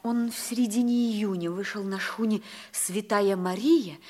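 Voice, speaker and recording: A woman's voice: medium pitch, fairly even intonation, unhurried, warm tone, lightly acted. An actress playing a sincere, eager schoolgirl in a mid-century radio play, speaking with earnest, breathless emphasis. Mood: wistful. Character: sincere, eager schoolgirl remembering her lost father.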